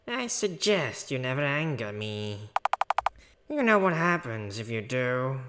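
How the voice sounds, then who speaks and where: A game streamer voicing a creepy male killer who speaks in a slow, threatening manner. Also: medium pitch, sing-song, very noisy, voice pushed down. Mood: quietly threatening.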